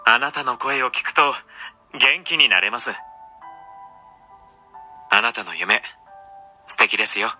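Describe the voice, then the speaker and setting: A sweet, tender male voice idol performed by a man delivers romantic encouragement in a radio drama. The tone is very bright and crisp-edged, mid-pitched, with faint music behind. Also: animated, slow, pauses often, heavily acted. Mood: tender, encouraging. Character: sweet-talking young male voice idol comforting female fans. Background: background music.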